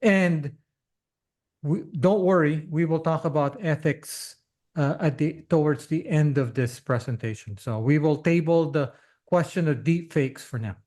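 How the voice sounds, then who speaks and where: A man hosting a podcast interview, speaking haltingly as he stops to clarify things for listeners. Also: fairly high pitch, darkish tone. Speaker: podcast host.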